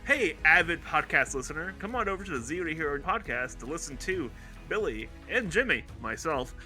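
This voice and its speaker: A man's voice: on the high side, very animated, a little fast, bright, forceful tone. A man giving an upbeat promotional read as a podcast host. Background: music.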